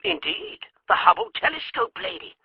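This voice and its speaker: A very high, animated male voice with a creaky edge, as a genial, learned newspaper reporter in a radio play. He speaks in courtly, jovial phrases down a telephone line, dull and dry in sound. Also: normal speed, no echo, full character voice. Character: genial, erudite male newspaper science reporter, fond of jargon. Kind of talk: audio drama acting.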